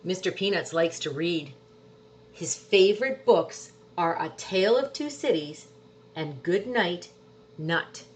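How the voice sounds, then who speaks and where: A woman's voice: on the low side, slight background noise. A woman reading a children's storybook aloud expressively, drawing out words for emphasis. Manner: animated, drawn-out words for emphasis. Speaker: storybook reader. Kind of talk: expressive children's picture book read-aloud.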